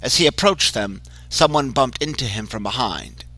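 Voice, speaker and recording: A man giving a measured, dramatic reading of a horror story. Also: mid-range voice, very animated, pushed and bright, no echo. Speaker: narrator. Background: music.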